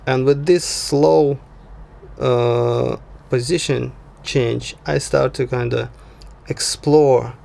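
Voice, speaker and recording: Slow-talking man with very gravelly fry. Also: mid-range voice, fairly even intonation, darkish tone. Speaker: tutorial instructor. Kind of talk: informal software tutorial narration.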